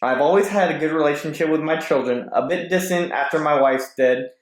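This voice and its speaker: A man's voice: a little high, fairly quick. A man guesting on a podcast, reading posts aloud and chatting casually with some hesitant stumbles. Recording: roomy echo.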